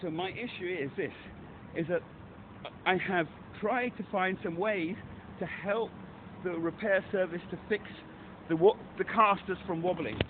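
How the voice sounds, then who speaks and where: A man's voice: high voice, a little slow, noisy background. A man on a phone call, pressing his complaint urgently and repeating himself. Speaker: caller. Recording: tinny phone line.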